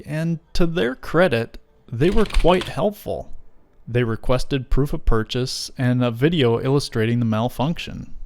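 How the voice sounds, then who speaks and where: A man's voice: medium pitch, darkish tone. A man hosting a scripted how-to video, narrating with playful, mock-dramatic phrasing. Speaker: video host.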